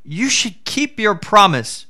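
An anxious Brahmin argues his case in earnest, as a man reading a folk tale puts him on. He speaks slowly and a little higher than usual. The voice is bright and pleading. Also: very animated, full character voice.

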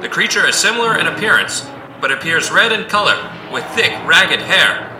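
A high-pitched male news broadcaster played by an actor delivers a grave emergency bulletin in an audio drama. The voice echoes over music, formal and urgent. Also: animated, moderate pace.

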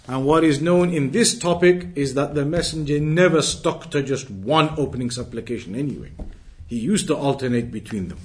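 A man giving a religious lesson as a teacher, reading from a text and explaining it, restating his points in a didactic way. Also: on the high side. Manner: didactic, repeats and rephrases points.

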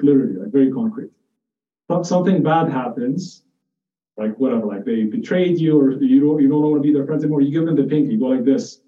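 A man's voice: medium pitch, dark tone. A man from Jordan giving an informal, conversational lecture, explaining ideas through personal anecdotes and often restarting his phrases. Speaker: lecturer in psychiatry. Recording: muffled, echoey room, distant mic.

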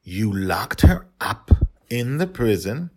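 A man's voice: fairly low pitch, sing-song, takes it slowly, dark tone, voice pushed up, exaggerated voice. A man playing an earnest father in a storybook tale, stern but loving toward his young daughter. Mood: angry, scolding. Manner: earnest, parental.

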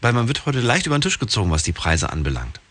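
A man's voice: mid-range voice, fast. A man hosting a radio call-in show, talking casually and animatedly, often restarting his sentences. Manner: animated, exasperated, full of restarts. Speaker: radio talk show host. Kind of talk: casual radio phone-in talk.

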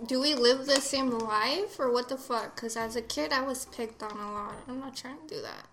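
Bright, blunt twenties woman. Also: on the high side, normal speed. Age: 20s. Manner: blunt, swearing, laughing. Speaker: guest friend. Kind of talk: casual chat among friends.

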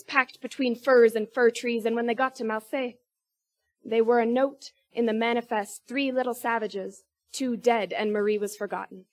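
Woman in her 20s, spoken word poet; high, rhythmic, emphatic. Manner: rhythmic, emphatic delivery with sharp rises in pitch and force. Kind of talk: spoken word poetry performance.

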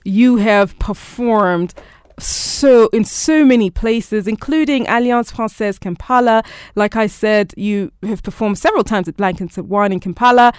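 A woman's voice: mid-range voice, measured pace, clean tone, no echo, clean studio sound. A woman from Tanzania hosts a casual interview in a chatty, playful way, sometimes tripping over her words. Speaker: interview host.

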